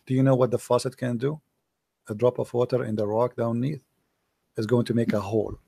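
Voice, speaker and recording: A man's voice: medium pitch, little pitch movement, brisk, vocal fry, warm tone, breathy edge. A man hosting a call-in show, earnestly advising a caller in slightly non-native English. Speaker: host taking calls.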